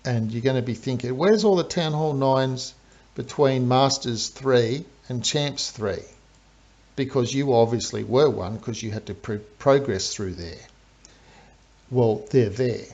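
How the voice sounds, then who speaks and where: A man's voice: mid-range voice, a little mellow. A man with an Australian accent, a game streamer chatting through live commentary and explaining strategy step by step. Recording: dull lo-fi stream audio.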